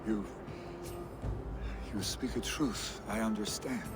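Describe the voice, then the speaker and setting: Slow-spoken, grieving young Viking warrior. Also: male voice, on the low side. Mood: subdued. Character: grieving young Viking warrior, jarl's son, brash yet wistful. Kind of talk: dramatic video game cutscene acting. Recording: music behind the voice.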